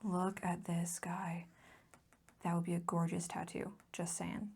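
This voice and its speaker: A soft-spoken woman with a dark, relaxed tone and plenty of creaky fry. She drifts between a low murmur and a husky whisper in an intimate ASMR style, chatting in a gushing, rambling, affectionate way. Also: a little slow. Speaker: host of a hobby ASMR channel. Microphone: close mic, recorded as voice-over.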